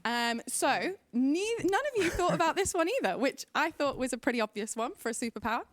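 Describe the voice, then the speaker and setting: A woman's voice: high-pitched, animated, a little fast, no room sound. A woman hosting a live game show at a conference in a playful, teasing way.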